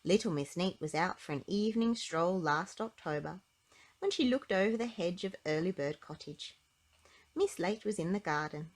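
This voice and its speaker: A woman narrating a children's storybook aloud in an expressive, emphatic way with clear phrasing. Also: fairly low pitch, normal speed.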